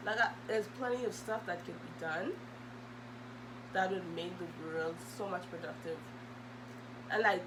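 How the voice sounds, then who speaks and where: A young adult woman, chatting casually and hesitantly as she answers questions for a vlog. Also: lowish voice. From Bahamas. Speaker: video host. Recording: loud humming background noise.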